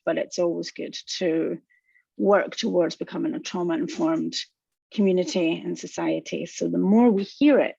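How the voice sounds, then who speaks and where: A woman's voice: fairly low pitch, gravelly, no room sound. A woman with a strong Scottish accent, a counsellor giving a webinar in a reassuring way and talking straight to her listeners. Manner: warm, reassuring, speaks to the audience directly. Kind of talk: webinar presentation. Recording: dull, dry lo-fi webinar audio.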